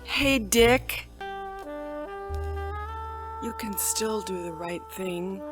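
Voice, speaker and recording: A woman's voice: medium pitch, expressive, very slow. Lady doing a sultry noir dame, takes her time, theatrical, music playing behind her. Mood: defiant, pleading. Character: sultry, cool femme fatale wife in noir parody. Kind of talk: parody noir radio drama acting.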